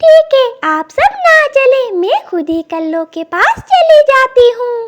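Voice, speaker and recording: A woman voicing a kind, forgiving little female bird in a children's cartoon, earnest, caring and gently moralising. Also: very high-pitched, sing-song, unhurried, heavy background noise, heavily acted.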